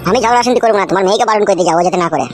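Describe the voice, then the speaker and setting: A man's voice: high-pitched, very fast, music in the background, lightly acted. A man voicing a harried, foul-mouthed husband who wheedles and grumbles in a comic cartoon. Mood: irritated.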